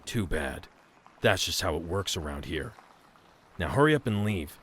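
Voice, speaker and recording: An actor playing a smug, bossy gang leader in an audio drama, domineering and condescending. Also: male voice, sits low, expressive, normal speed, fairly bright tone, slight background noise. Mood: smug, commanding. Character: gang leader grunt, menacing, smug, bossy adult man.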